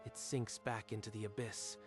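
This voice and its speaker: A man's voice: fairly low pitch, little pitch movement, moderate pace. Male narrator with dramatic delivery. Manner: dramatic storytelling. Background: faint music.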